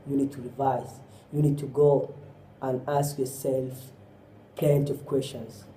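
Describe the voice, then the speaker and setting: Male preacher, deep mellow tone, pressing questions, roomy echo. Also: flat delivery, measured pace, a little hiss or hum. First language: Somali. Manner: exhorting with repeated rhetorical questions; often drops in Arabic phrases. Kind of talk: sermon.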